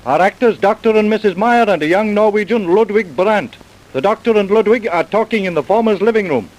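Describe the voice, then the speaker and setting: A man's voice: sits high, fairly quick, a little mellow, lightly acted, poor audio. A man narrating a crime drama as a solemn storyteller, in formal old-time radio style. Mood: solemn, storytelling. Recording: vintage radio broadcast recording.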